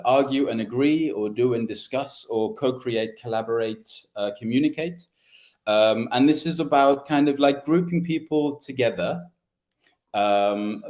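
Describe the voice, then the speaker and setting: A man who teaches English, hosting a panel and thinking aloud in a measured way with hedging fillers. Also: medium pitch, a little mellow. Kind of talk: conversational panel discussion.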